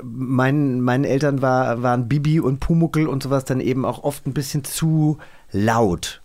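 A man's voice: medium pitch, normal speed, a little mellow. A man chatting casually as a podcast co-host, thinking aloud in a conversational way. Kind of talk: casual chat.

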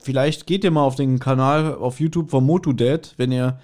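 A man's voice: mid-range voice, steady tone, normal speed, darkish tone. A man chatting casually as a podcast co-host, wry and teasing. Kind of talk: casual chat.

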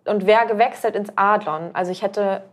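A woman around thirty chats as a podcast guest. Her voice sits at an everyday middle pitch. She rambles through her story with fillers and restarts. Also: fairly even intonation, moderate pace. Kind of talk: casual conversational storytelling.

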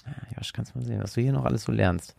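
A man's voice: medium pitch, rapid-fire, gravelly, a little mellow, no echo. Guy with north just chatting on a podcast, dry sound, no room. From Germany. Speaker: podcast co-host and storyteller. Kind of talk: casual chat.

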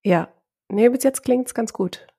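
A woman co-hosting a casual podcast while she has a cold, relaxed and friendly, laughing lightly. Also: fairly high pitch, measured pace, creaky, husky. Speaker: podcast co-host. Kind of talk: casual chat.

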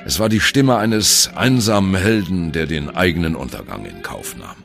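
Casting: wry old jazz veteran narrating a radio play, bottomless gravelly bass, dramatic storyteller's phrasing. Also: male voice, animated. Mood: wistful, earnest. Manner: wry, dramatic, deliberate phrasing with colloquial turns. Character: ageing male jazz musician, wry, reminiscing on postwar youth. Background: music.

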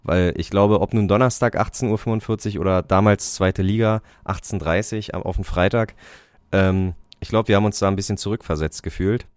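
A man's voice: fairly low pitch, steady tone, a little fast, smooth, no room sound, clean studio sound. A man co-hosting a casual football podcast, rambling with lots of fillers and restarts and laughing often. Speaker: podcast co-host. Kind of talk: casual chat about football.

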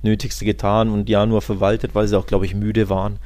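A man's voice: on the low side, flat delivery, a little fast, darkish tone, dry recording. A man hosting a football podcast, chatting casually and giving opinionated, colloquial commentary on the game. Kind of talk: casual football talk with live match commentary.

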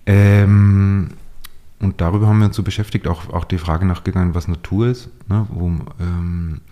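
A man's voice: deep voice, steady tone, normal speed, mellow. A man giving reflective, intellectual interview answers in a conversational way, hesitant and often rephrasing as he searches for words. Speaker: interview guest, playwright.

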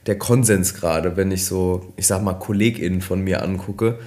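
A man, a nutrition expert co-hosting a podcast, explaining casually with many fillers, slightly hoarse from a cold. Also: low voice, fairly even intonation, normal speed, dark tone.

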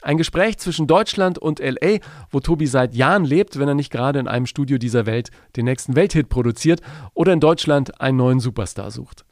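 A man's voice: medium pitch, fairly quick, dry recording. A man hosts a casual podcast interview, his mid-range voice dry and close to the mic. He chats with easy enthusiasm and checks in with little questioning asides. The sound is plain and intimate, with no room around it. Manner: chatty, enthusiastic, tags questions with "ja?". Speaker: podcast host. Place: home studio in Germany.

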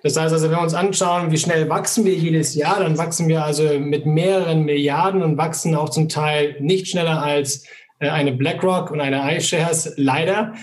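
A middle-aged man answering interview questions about his firm, measured and full of fillers. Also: on the high side, fairly even intonation. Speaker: asset manager guest.

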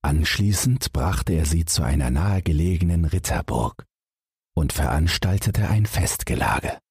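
Deep, very husky male storyteller. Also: animated, measured pace, a little mellow, no room sound, clean studio sound. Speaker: audiobook narrator. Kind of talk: scripted fairy-tale narration for bedtime.

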